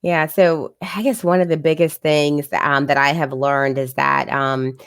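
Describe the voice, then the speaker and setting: A woman, a physician assistant and children's author, talking reflectively in a casual interview with many pauses and fillers. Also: low voice, level tone, clear, resonant tone, no room sound, clean studio sound.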